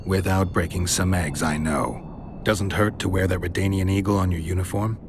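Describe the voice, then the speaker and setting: An actor playing a stoic, world-weary monster hunter in a video game, terse and deadpan with a gravelly voice. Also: male voice, deep voice, normal speed, background noise, natural voice. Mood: dry.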